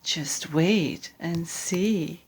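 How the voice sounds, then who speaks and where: A woman voicing a small, clever male storybook mouse who speaks in a measured, persuasive way. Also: lowish voice, a little mellow, slightly rough, pitched below the performer's own voice, exaggerated voice, rough recording. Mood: coaxing.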